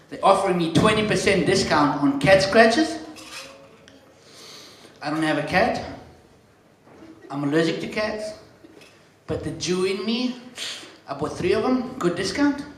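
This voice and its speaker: A man with a South African accent compering a stand-up comedy night, dropping deadpan one-liners and chatting to the crowd from the stage. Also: fairly high pitch, unhurried, long pauses, a little hiss or hum. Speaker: comedy show MC. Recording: very echoey room.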